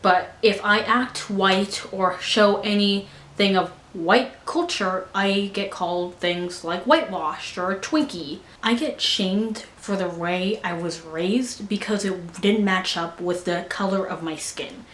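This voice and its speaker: A woman's voice: medium pitch, fairly bright tone. A young American woman talking earnestly to camera in a personal vlog, stumbling and restarting her sentences now and then. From United States. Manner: earnest, frequent fillers and restarts. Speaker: vlogger. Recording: echoey room.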